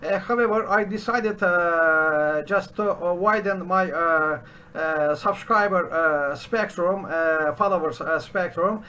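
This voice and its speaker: A middle-aged man with a Turkish accent, speaking English as a second language, walks through a programming lesson while sharing his screen. He talks in short, halting bursts full of "uh" and restarts, with a fairly high voice for a man. Faint background hiss sits under his voice in his home study. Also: pushed and bright. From Turkey. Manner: halting, constant "uh" fillers and restarts. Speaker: programming teacher. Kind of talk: unscripted screen-share tutorial.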